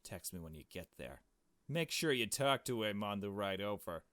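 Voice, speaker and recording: A man's voice: lowish voice, lively intonation, normal speed, a bit bright, dry recording. A man voicing a bossy, scheming young woman from a visual novel, curt and commanding. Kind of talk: visual novel dialogue read aloud.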